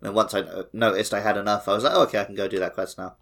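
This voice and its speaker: A man's voice: fairly low pitch, fairly even intonation, racing, gravelly, a bit bright. A man co-hosting a gaming podcast, talking casually and conversationally. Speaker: podcast co-host.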